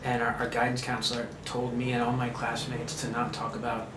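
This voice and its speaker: A man's voice: medium pitch, monotone, brisk. Hesitant man in an echoey room. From United States. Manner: hesitant, many fillers and restarts. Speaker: guest. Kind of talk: casual interview conversation. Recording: echoey room with clear background noise.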